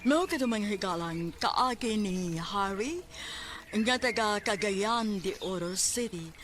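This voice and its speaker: Slow-speaking Cebuano woman announcer over music. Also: mid-range voice. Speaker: radio drama announcer and host. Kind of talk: scripted radio drama narration.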